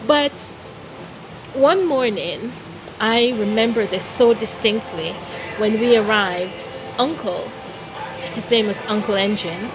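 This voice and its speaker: A woman from Jamaica telling personal stories as an interview guest, in a deliberate, expressive way. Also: medium pitch, low-quality recording. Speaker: interview guest, founder of a philanthropy organization.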